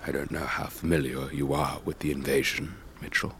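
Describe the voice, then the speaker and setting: An actor playing an elderly English retired air force officer, telling his story in a measured, wistful, old-fashioned way for a radio drama. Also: very deep voice, little pitch movement, a bit bright. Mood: wistful, reminiscing. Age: older. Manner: measured, old-fashioned, reflective, breathy trailing phrases. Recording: faint background noise.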